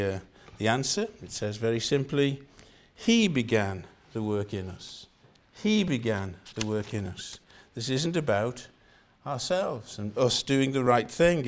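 An older man from Wales with a Welsh accent, preaching a sermon in an earnest style and repeating phrases for emphasis. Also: mid-range voice.

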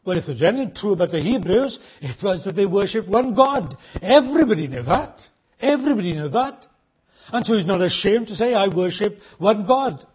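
A man delivers a sermon in a husky, fairly high voice, pressing his listeners with rhetorical questions. He slows right down on the key phrases to drive them home. The recording sounds dull and lo-fi. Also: animated. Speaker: preacher.

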